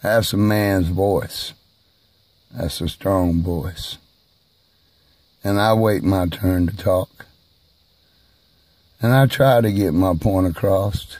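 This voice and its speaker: A man speaking slowly and haltingly in a personal video monologue, who is hard of hearing and raises his voice at times. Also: low-pitched, a little mellow, no echo. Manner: slow, halting, emphatic delivery.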